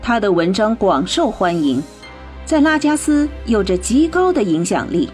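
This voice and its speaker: A woman narrating a scripted radio drama in a clearly phrased storytelling manner. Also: medium pitch, sing-song, moderate pace, darkish tone. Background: music.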